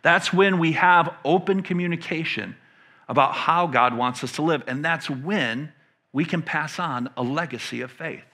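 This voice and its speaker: Bright, punchy American male preacher. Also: on the high side. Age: middle-aged. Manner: emphatic, pauses to pose questions to listeners. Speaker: pastor. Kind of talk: conversational sermon teaching. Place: indoor stage in a church room.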